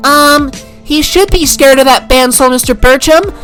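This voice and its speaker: A woman's voice: high-pitched, normal speed, fairly bright tone, faint music behind. A woman voicing a prissy, smug, dainty cartoon assistant principal with a fussy, sing-song, babyish way of talking.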